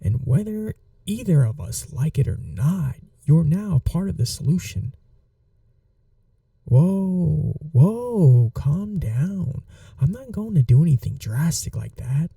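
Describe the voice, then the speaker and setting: A man playing a calm, flirty, protective mafia boss in a soft-spoken ASMR roleplay, unhurried and reassuring with a teasing edge. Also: a little high, gravelly, dry recording, clean studio sound, recorded from a distance, heavily acted. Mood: cool, matter-of-fact, then soothing, reassuring.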